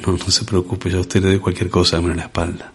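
A man hosting a comedy sketch, speaking in a low, dry, patient deadpan. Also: quick pace, very noisy. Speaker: sketch host.

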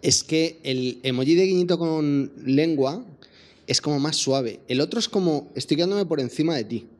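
Higher-pitched man making wry observations. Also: normal speed. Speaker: comedy show panelist. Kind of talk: casual comic chat.

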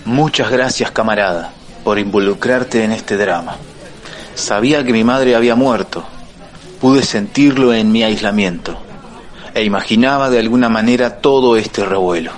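A man playing a wary, composed fugitive warrior in a radio drama, speaking in a measured, theatrical way. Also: fairly low pitch, a faint crowd, lightly acted. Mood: solemn.

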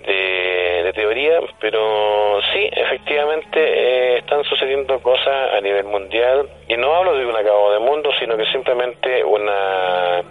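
A man's voice: lowish voice, unhurried, hardly any pauses. A man with a Chilean accent explains things conversationally as a guest on a radio interview, punctuating statements with questioning hums. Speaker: guest paranormal commentator. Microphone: telephone. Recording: narrow, dull phone-line sound.

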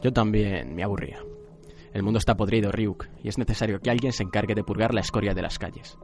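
A man's voice: fairly low pitch, fast talker, darkish tone, soft background music, natural voice. A man playing a cold, arrogant young man who sees himself as a god of justice, solemn and grandiose, in an anime radio drama.

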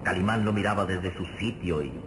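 A man's voice: sits low, fairly quick, natural voice. Man as suspenseful radio-serial narrator, noisy recording. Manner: emphatic, suspenseful, formal. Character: grave, vivid narrator of a heroic adventure serial.

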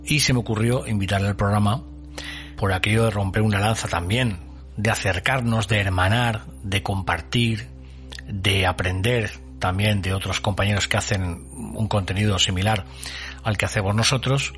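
A man presenting a podcast as host, narrating a scripted introduction with measured, colloquial phrasing and dramatic pauses. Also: lowish voice, steady tone, a bit bright.

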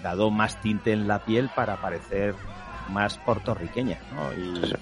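A man's voice: fairly low pitch, a little slow, music in the background. A man talking casually about a classic film, eager to share trivia and anecdotes.

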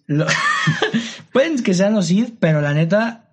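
Slangy young Mexican man, high voice. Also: very animated, slow pace, fairly bright tone, slightly rough. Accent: Mexican Spanish. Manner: slangy, joking, lots of tag questions. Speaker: podcast co-host. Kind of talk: casual discussion.

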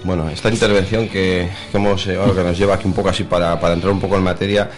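A deep-voiced man co-hosts a radio chat. His delivery is flat and hesitant, feeling its way through long sentences. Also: rapid-fire, soft background music. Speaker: radio section co-host. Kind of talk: unscripted radio conversation. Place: radio studio.

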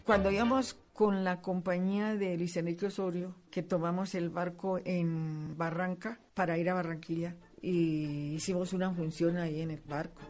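An older woman with a Colombian accent telling anecdotes as an interviewee, animated, with halting repetitions and acted-out bits of dialogue. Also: fairly low pitch, soft background music. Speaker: interviewee, veteran radio actress. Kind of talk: spoken reminiscence, anecdote telling.

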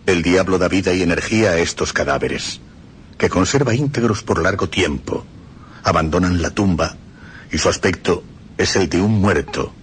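A man reading historical texts aloud on a radio program in a grave, deliberate recitation. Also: deep voice, lively intonation, a little hiss or hum. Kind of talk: solemn scripted reading.

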